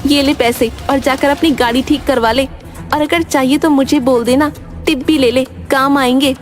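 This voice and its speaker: A woman's voice: sits high, steady tone, moderate pace, pitched above the performer's own voice, natural voice. A woman voicing a bold, defiant young female street vendor with a taunting manner in a cartoon. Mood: scornful, defiant. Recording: music behind the voice.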